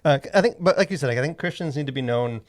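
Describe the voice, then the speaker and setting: A man talking as a podcast co-host in a casual, halting, rambling way full of verbal fillers. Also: mid-range voice, lively intonation. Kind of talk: casual conversational podcast discussion.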